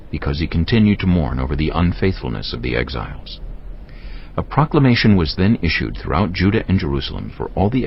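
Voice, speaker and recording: A man narrating scripture from a script in a measured, solemn way, as in a dramatized audiobook. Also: low-pitched, a little hiss or hum. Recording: dull lo-fi audio, music behind. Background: clear background music.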